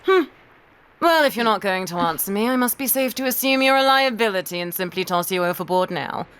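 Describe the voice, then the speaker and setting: A woman's voice: mid-range voice, animated, a little fast, fairly bright tone, lightly acted. A woman playing a stern, cold ship's captain in an audio drama, curt and imperious. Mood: suspicious, threatening.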